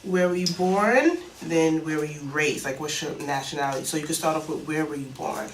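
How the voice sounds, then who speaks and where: A young woman hosts a relaxed sit-down chat, animated and quick to laugh. Her voice sounds roomy and sits a little back from the mic, with faint hiss underneath. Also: low voice, far from the mic. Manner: laughs often, animated storytelling. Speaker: host. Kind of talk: casual interview chat.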